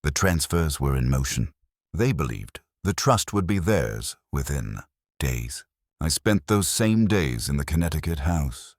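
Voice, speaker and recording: Very deep-voiced man narrating a dramatic story. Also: sing-song, measured pace, no room sound, clean studio sound. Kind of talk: scripted dramatic first-person audiobook-style narration.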